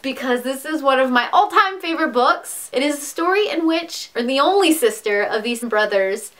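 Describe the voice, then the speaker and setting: A young woman with a high voice chats to camera about books she adores. She is eager and informal, tripping over herself now and then as her excitement runs ahead of her words. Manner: enthusiastic, chatty, with quick asides and restarts. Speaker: book vlogger. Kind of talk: casual book recommendation vlog.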